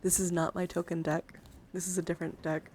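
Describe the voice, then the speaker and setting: A woman's voice: on the low side, flat delivery, fairly quick, darkish tone, a little hiss or hum. A woman livestream host chatting casually, rambling and swearing freely as she shows off a collection. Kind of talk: casual livestream chat showing a card collection. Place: indoors, livestream with handheld camera.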